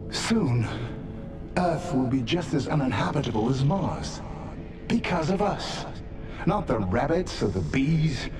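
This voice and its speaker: An actor playing a bitter, domineering middle-aged colony leader in a video game, impassioned and oratorical as he presses his point. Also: fairly high pitch. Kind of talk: dramatic video game acting. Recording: music behind the voice.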